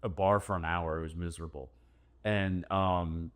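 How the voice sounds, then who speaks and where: A man with a very deep voice co-hosts a casual podcast. He tells stories in a dry, deadpan manner, at an even, unhurried pace. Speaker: podcast co-host.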